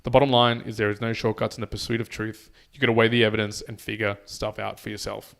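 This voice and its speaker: Easygoing Australian podcast host. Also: male voice, fairly low pitch, quick pace, creaky. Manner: relaxed, chatty, says "mate" often. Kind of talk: casual podcast chat, reading listener messages.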